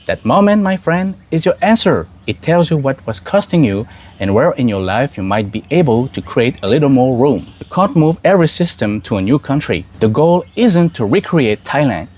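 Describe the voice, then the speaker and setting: A man from Switzerland talking calmly and reflectively to camera as the host of his own channel, speaking straight to the viewer. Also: mid-range voice. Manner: calm, measured, addresses viewer directly.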